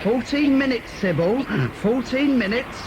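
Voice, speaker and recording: Man playing an irritable, high-voiced, impatient husband. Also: a little slow. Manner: brusque, exasperated, pedantic. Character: irritable, impatient, fussy husband with xenophobic streak. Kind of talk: audio drama acting. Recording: noisy cassette-era audio drama.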